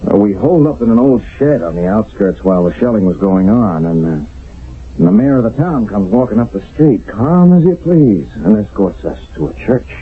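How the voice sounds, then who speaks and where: A man playing a grim, world-weary army sergeant in a radio drama, speaking gravely and plainly with emphasis. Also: fairly low pitch, animated, moderate pace, close to an everyday voice. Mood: grave, wry. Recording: dull old radio recording.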